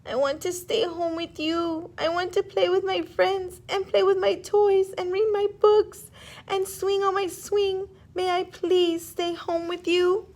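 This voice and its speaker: A woman's voice: very high voice, flat delivery, a little slow, darkish tone, voice pushed up. A woman voicing a young boy raccoon in a storybook, tearful, pleading and reluctant, with stammering words.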